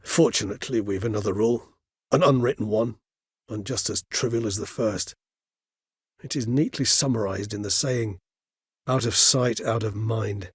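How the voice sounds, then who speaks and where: A middle-aged man playing a courtly, erudite, world-weary higher vampire in a video game, speaking smoothly in formal, eloquent phrases with thoughtful pauses. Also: no echo, studio quality. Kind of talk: video game character dialogue.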